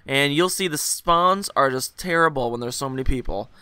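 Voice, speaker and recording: A young man hosting a gaming video, telling a rambling, joking story over gameplay while hungover, his voice a little rougher and lower than usual. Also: moderate pace, sharp tone, no echo. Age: young adult. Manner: rambling, joking, full of fillers and asides.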